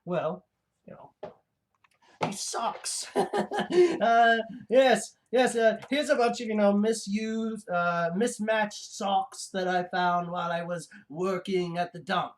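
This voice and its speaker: Someone playing a slow, halting, gleefully deluded villain. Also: mid-range voice, steady tone, lightly acted. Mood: indignant, vengeful. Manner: halting, hesitant, prone to cackling. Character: gleefully deluded mad-scientist villain, self-proclaimed genius bent on revenge. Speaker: show host. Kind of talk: rambling comic in-character monologue.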